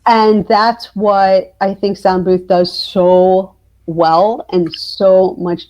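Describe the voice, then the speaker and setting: A woman's voice: medium pitch, steady tone, very slow, warm tone, dull audio. A woman chatting candidly and emphatically as an author being interviewed. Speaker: guest, author. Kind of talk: casual interview conversation.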